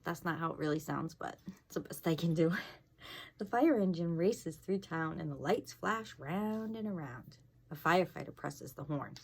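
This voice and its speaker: A woman's voice: deep voice, lively intonation, moderate pace, creaky, a little mellow, slightly rough. A woman fire inspector reading a storybook aloud to children, expressively, with casual asides and questions to her young listeners.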